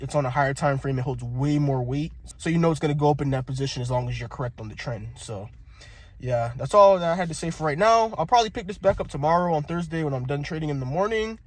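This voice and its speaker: A young man vlogging about his day trading in a relaxed, slangy, rambling way, full of fillers. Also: mid-range voice, slight background noise. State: congested with a sore throat. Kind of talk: casual vlog chat.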